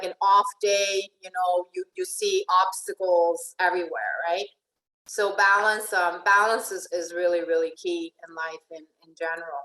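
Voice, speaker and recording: A woman life coach who grew up in Venezuela gives a relaxed talk over a video call, her voice ringing in an echoey room. She sits at a middling pitch and chats along, looping back to restart her phrases and asking "right?" as she goes. The sound has a roomy, reflective quality. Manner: chatty, often restarts and asks "right?". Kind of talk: informal online presentation.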